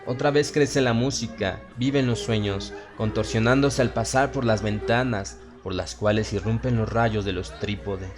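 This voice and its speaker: A man with a Latin American Spanish accent narrating a horror story in a solemn, theatrical manner with dramatic pauses. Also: fairly low pitch, steady tone. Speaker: audiobook narrator. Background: music and sound effects.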